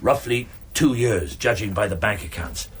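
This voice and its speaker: An actor playing a shrewd, drily courteous police chief inspector in a naturalistic radio drama, with measured, understated delivery. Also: male voice, lowish voice, expressive, a bit bright, a little hiss or hum. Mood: measured explaining. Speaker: actor in a detective radio play.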